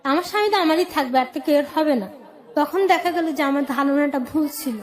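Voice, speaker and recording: High-pitched Bangladeshi woman telling her story. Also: a little fast, some chatter behind. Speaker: guest telling life story. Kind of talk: personal life-story storytelling on radio.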